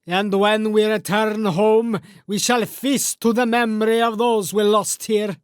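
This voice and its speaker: Old Norse warrior, male actor, audiobook saga. Grave and measured, resonant tone, high-set for a man, dry close recording. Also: fairly even intonation, clear, resonant tone, dry recording. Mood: solemn, reflective. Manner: solemn, measured, formal phrasing. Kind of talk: audiobook character acting.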